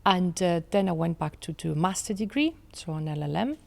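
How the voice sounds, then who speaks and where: A woman in her forties with a French accent, a guest in an interview, speaking in a measured way with many fillers and restarts. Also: on the low side, expressive, vocal fry, a little mellow. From France. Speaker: law professor, guest. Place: studio set with armchairs. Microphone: desk mic on boom arm.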